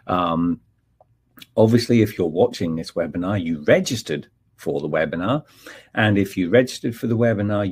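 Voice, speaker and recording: Deep gravelly man hosting a webinar. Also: darkish tone. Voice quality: polite, chatty, frequent ums and uhs. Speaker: technical webinar presenter. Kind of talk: live webinar introduction.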